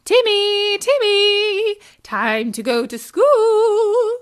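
A woman's voice: extremely high pitch, expressive, very slow, pitched above the performer's own voice, exaggerated voice. A woman voicing a bossy mother frog who scolds her little son in a firm, clipped way. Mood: calling. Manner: stern, scolding.

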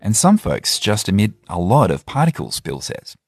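Lively husky male news narrator. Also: fairly low pitch, moderate pace, soft background music. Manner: clear reporting tone, linking interview clips. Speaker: reporter narrating a report. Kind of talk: scripted narration.

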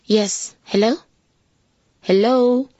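A woman's voice: fairly high pitch, fairly even intonation, drawn-out words, warm tone, no room sound. An actress playing a principled young female candidate who talks hesitantly but stands firm. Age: young adult. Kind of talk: radio drama acting. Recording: dull lo-fi radio drama recording.

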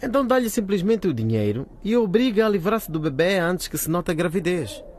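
A man's voice: high-pitched, animated, a little fast. A man playing a frank, scolding teenage schoolboy in a colloquial radio drama. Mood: advising. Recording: faint background noise.

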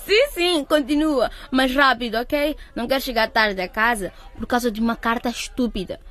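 A woman's voice: fairly high pitch, normal speed, fairly bright tone, natural voice. An actress playing a practical, impatient teenage schoolgirl who scorns romance, in a radio drama.

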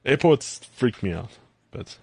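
A man's voice: mid-range voice, measured pace, vocal fry, breathy edge. A South African man co-hosting a podcast, chatting casually and telling stories with frequent restarts. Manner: storytelling, repeats words, relaxed. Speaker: podcast co-host. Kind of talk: casual chat.

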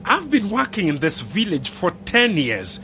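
An actor playing a middle-aged, self-important civil servant, officious and easily offended, in a radio drama. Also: male voice, sits high, huge pitch swings, moderate pace, a bit bright, a little hiss or hum, full character voice. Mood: indignant. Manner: indignant, officious. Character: touchy, stonewalling male village civil servant, self-important.

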